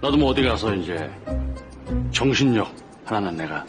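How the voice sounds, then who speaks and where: A man's voice: fairly low pitch, animated, moderate pace, soft background music, natural voice. An actor playing a cocky older man who teases the younger man and boasts about his drinking. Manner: teasing, boastful, casual. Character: cocky older brother-in-law figure bragging about drinking.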